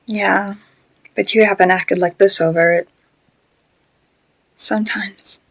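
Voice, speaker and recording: A woman's voice: mid-range voice, fairly even intonation, slow pace, dry recording, low-quality recording. Woman as shy earnest ghost puppet, dry sound. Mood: embarrassed, downcast. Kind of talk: amateur audio drama acting.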